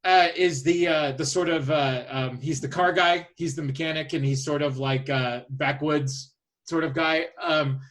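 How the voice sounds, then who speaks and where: Halting, higher-voiced man chatting on a podcast. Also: fairly even intonation, a bit bright. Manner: hesitant, halting, thinks aloud. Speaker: podcast host. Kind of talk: casual chat, presenting a list of casting picks.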